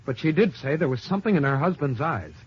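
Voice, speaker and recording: Earnest, husky young man. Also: a little high, sing-song, quick pace, heavily acted. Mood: insistent. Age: young adult. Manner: dramatic, insistent, formal. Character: anguished, earnest young male accountant. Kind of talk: old-time radio detective drama acting. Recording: dull, muffled old radio recording.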